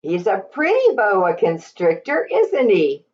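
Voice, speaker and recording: Very slow, lively woman reading to children. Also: medium pitch, muffled recording. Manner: sing-song, drawn-out reading with questions to young listeners. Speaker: teacher reading picture books aloud. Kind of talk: read-aloud storytime for young children.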